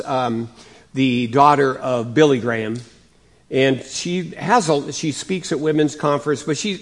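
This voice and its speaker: A male pastor preaching a sermon in a conversational way, his voice slightly raspy from coughing, rising and getting louder to stress points. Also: a little high. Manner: conversational, with many fillers and restarts; rises and gets louder for emphasis.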